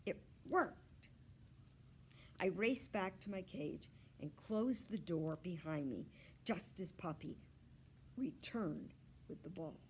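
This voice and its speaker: A woman narrates a children's story as a library storyteller. She reads at a slow, deliberate pace with animated, expressive pitch. Faint noise sits in the background. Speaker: library storyteller reading aloud. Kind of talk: children's story read-aloud.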